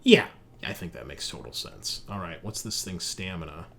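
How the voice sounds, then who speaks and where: A man's voice: low-pitched, big pitch swings, moderate pace. A man running a tabletop roleplaying game casually as game master, on a home mic. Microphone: home mic on online group call. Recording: clear background noise on home mic.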